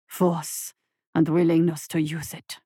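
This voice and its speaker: Woman voicing a slow, rumbling old tortoise monster. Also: flat delivery, dark tone, husky, no echo, studio quality, exaggerated voice. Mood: stern, commanding. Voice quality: rumbling, growling. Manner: slow, deliberate, dismissive pronouncements. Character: ancient hulking male tortoise monster, cruel, menacing mentor.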